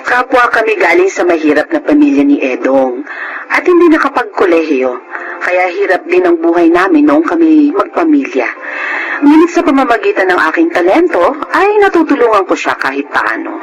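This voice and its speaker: A woman's voice: on the low side, very animated, moderate pace, lo-fi sound, soft background music. A woman playing a cheerful, practical working-class mother in a radio drama. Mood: reflective.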